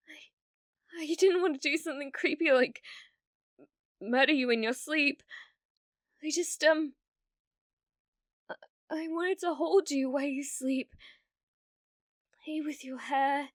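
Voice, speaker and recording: A British woman playing a desperate, delusional, lovesick streamer in an ASMR roleplay, pleading haltingly and slipping into breathy whispers. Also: a little high, no echo, studio quality. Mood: nervous, longing.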